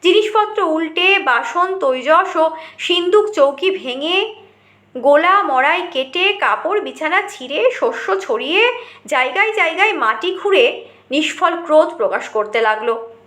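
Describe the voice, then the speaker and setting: High-pitched, slow-paced woman storyteller. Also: animated, fairly bright tone, roomy sound. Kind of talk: dramatic scripted narration of a short story.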